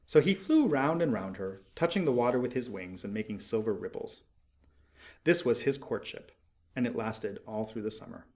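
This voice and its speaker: A man reading a storybook aloud as an expressive audiobook narrator. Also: on the low side, moderate pace.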